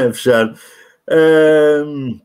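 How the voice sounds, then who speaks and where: Man, hosts a casual online sports show, self-mocking, fairly high voice. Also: very slow, darkish tone, a little hiss or hum. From Portugal. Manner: chatty and self-mocking, many fillers. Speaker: podcast host. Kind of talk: casual live-stream chat.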